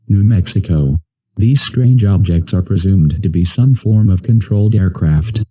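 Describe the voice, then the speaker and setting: Through a narrow, phone-like radio band comes a very low synthetic male voice. He reads an official emergency alert formally and impersonally, with no room sound around him. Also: dry recording. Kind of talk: scripted emergency alert announcement.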